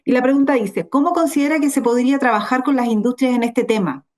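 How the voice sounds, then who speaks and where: Fairly high-voiced Chilean woman moderating. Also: fast talker. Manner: polite, formal, reads questions aloud. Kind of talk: formal event moderation.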